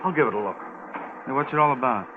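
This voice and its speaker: An actor playing a concerned, plainspoken male rescuer, brisk and colloquial, in 1950s radio drama style. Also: mid-range voice, lively intonation, natural voice. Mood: concerned, puzzled. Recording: tinny, faintly noisy old radio recording.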